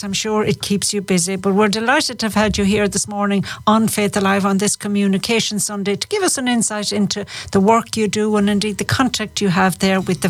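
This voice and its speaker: A woman's voice: medium pitch, dry recording. A woman hosting a broadcast interview in a conversational style. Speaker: radio show host, interviewer.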